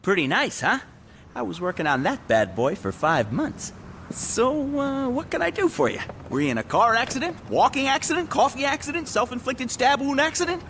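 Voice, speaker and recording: A man's voice: very high voice, big pitch swings, moderate pace, a bit bright, a little hiss or hum, exaggerated voice. An actor playing a slick, shameless injury-claim hustler in a video game, with smarmy salesman patter. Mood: smug, scheming.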